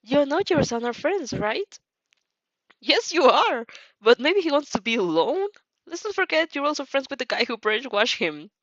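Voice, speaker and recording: A woman's voice: sits high, sing-song, moderate pace, a bit bright, no echo, muffled recording, pitched above the performer's own voice. A woman voicing bossy, teasing teenage girl classmates in a story, with a bold delivery.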